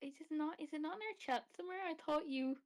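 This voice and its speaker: A woman with a high-pitched voice joins a game stream as co-commentator. Her talk is casual, playful and reassuring. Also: fast talker, darkish tone. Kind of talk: casual chat.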